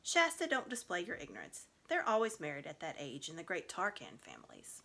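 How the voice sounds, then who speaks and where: Woman reading as a pompous talking war horse, animated. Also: fairly low pitch, fairly quick, full character voice. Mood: scolding. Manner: pompous, condescending. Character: boastful, know-it-all male talking war horse. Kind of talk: storybook read aloud.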